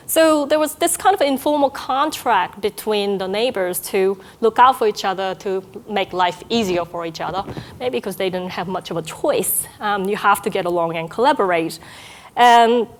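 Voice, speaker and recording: A woman's voice: mid-range voice, moderate pace. Woman speaking in a very echoey venue. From South Korea. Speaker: conference speaker, social entrepreneur. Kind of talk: public talk with personal storytelling. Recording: distant, very echoey room with clear noise.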